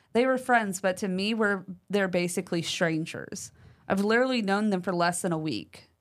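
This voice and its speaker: Chatty woman podcast co-host. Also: mid-range voice. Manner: chatty, uses fillers like "like" and "um". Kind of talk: casual podcast chat, reading listener mail aloud.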